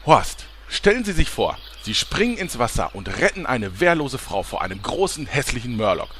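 A man's voice: medium pitch, very animated, normal speed, fairly bright tone, a little hiss or hum. A man playing a smarmy, scheming ex-journalist with grandiose patter in a parody fantasy audio drama. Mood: flattering, grandiose. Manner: grandiose, gushing salesman patter. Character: smarmy, scheming male ex-journalist turned flatterer.

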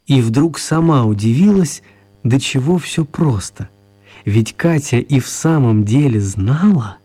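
An actor narrating a children's tale as the storyteller of a radio play. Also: male voice, medium pitch, lively intonation, a little slow, dark tone, faint music behind, natural voice. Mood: storytelling.

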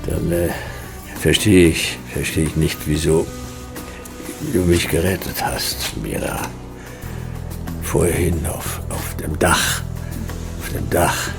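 Noir radio drama detective: laconic, terse, ironic, rock-bottom pitch with heavy fry. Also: male voice, slow, pauses often, music in the background, full character voice. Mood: deadpan, faltering. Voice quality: deep, gravelly. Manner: terse, deadpan. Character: laconic hard-boiled male private detective, dryly ironic. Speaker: actor playing a detective and narrator.

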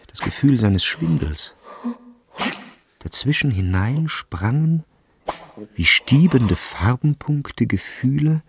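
A man narrating a literary radio drama in a measured, scripted delivery. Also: on the low side, creaky, a little mellow, a little hiss or hum.

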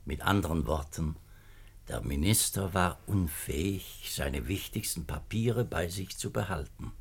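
An actor playing a beleaguered, earnest government minister in a 1950s German-language radio play, formal and weighty in speech. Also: male voice, animated, unhurried, exaggerated voice. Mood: bitter, resigned. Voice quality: husky low voice.

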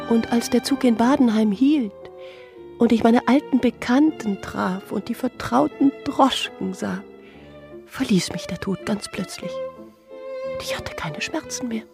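An actress playing a divorced mother who recalls her past in a husky, intimate, brooding radio-play monologue. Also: on the high side. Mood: wondering relief.

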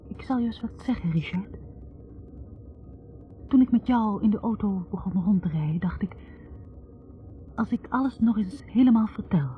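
An actress playing a wounded, bitter young academic woman confessing in a halting, accusing way in a 1960s radio drama. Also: mid-range voice, natural voice. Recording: tinny narrow-band 1960s radio recording.

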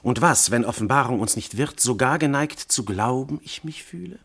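An actor playing a commanding, seductive god posing as a noble husband, declaiming classical radio verse in a theatrical, grandiose manner. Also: male voice, mid-range voice, expressive, moderate pace, vocal fry, fairly bright tone, husky, heavily acted. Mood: grand, seductive. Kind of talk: classical German stage verse in a radio play.

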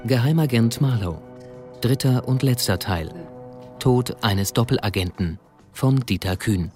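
A man's voice: mid-range voice, animated, unhurried. A man announcing a radio drama and its cast in a scripted broadcast style. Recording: music behind the announcement.

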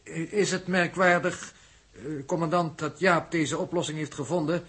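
A sceptical spaceship navigator in a vintage radio play. The voice is very husky and slightly high, and he talks in a pedantic, formal, measured way. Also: fairly even intonation, fairly bright tone. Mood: formal, doubting. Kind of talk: 1960s Dutch radio drama acting. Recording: old radio drama studio recording.

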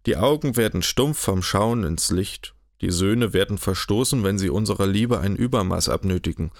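Man voicing a brooding older judge who murmurs low and dark, audiobook. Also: expressive, normal speed, no echo, clean studio sound. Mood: gloomy. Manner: murmuring darkly.

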